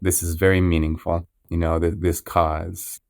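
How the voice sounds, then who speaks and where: A man with a low, level voice hosts a podcast and talks in an earnest, measured way. His tone stays clear and smooth, recorded dry and close. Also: level tone, no room sound. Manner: measured, with frequent restarts and "you know". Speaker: podcast host. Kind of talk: interview intro, earnest and reflective.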